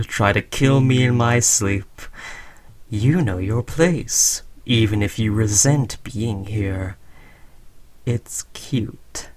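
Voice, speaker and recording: A man playing a smug, condescending alien conqueror in an audio roleplay, talking slowly to a human servant with taunting, patronizing fondness. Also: fairly low pitch. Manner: slow, taunting, patronizing praise. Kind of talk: scripted audio roleplay addressed to the listener.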